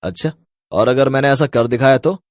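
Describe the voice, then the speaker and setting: A man's voice: mid-range voice, brisk, dry recording, clean studio sound, pitched below the performer's own voice, natural voice. A man voicing a cocky skeptic who scoffs and boasts in a Hindi cartoon story. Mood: scoffing.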